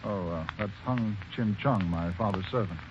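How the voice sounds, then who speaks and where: An actor playing the victim's hesitant young son in a 1940s radio mystery. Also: male voice, lowish voice, moderate pace, close to an everyday voice. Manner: hesitant, with fillers. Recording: dull old radio recording with hiss.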